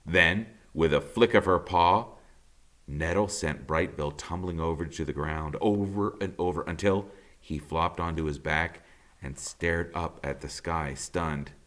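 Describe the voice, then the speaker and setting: A man reading a children's novel aloud in a theatrical, emphatic storytelling style, with dramatic pauses. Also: low voice, fairly bright tone.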